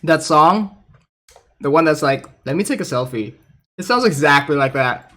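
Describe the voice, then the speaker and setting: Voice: mid-range voice, expressive, moderate pace, pushed and bright. A game streamer chatting casually and reacting to voice clips in an amused, informal way. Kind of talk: casual livestream reaction chat.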